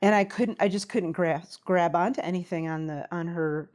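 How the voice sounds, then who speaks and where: A woman's voice: lowish voice, moderate pace, a little mellow. A woman guest on a talk show, telling a personal story in an interview.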